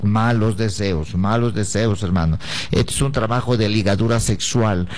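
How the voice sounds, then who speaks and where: A man's voice: on the low side, moderate pace, darkish tone. A man giving live psychic consultations to listeners, speaking in an emphatic, repetitive, preacher-like way. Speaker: radio psychic answering letters.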